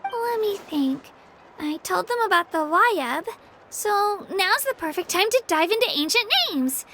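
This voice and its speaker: A woman's voice: very high-pitched, lively intonation, unhurried, sharp tone, slight background noise, full character voice. A woman voicing an eager, modest young girl, an apprentice warrior in a video game, earnest and girlish. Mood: reassuring.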